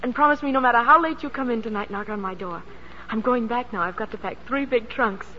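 A woman's voice: a little high, fast, lightly acted. An actress playing an effusive, teasing young woman who is a sanatorium patient, in 1940s radio drama style. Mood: giddy, confiding. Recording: muffled, noisy 1940s radio broadcast.

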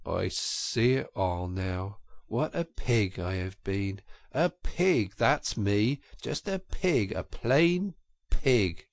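A man voicing a kindly male water rat who speaks low and gently to his friend. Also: big pitch swings, slow pace, gravelly, dry recording, clean studio sound, exaggerated voice. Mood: gloomy.

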